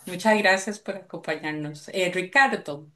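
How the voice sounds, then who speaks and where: A woman's voice: on the low side, moderate pace, fairly bright tone. A woman moderating a video call, briefly thanking each participant and calling on the next. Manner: brief, courteous.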